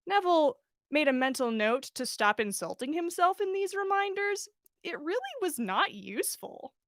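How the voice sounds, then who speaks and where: A woman's voice: high-pitched, big pitch swings, normal speed, a bit bright, no echo, studio quality. A woman hosting a livestream, chatting casually and reading fan fiction aloud in a bubbly, self-deprecating way. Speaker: podcast host and story reader.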